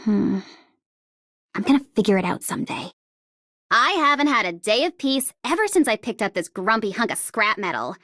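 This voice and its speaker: A cocky young mercenary woman for a video game, taunts with sardonic playfulness. Her voice is fairly high and swings widely in pitch, recorded close and dry. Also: very animated, moderate pace, sharp tone, no room sound. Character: cocky, mocking young female demolition-happy mercenary. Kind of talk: video game character voice lines.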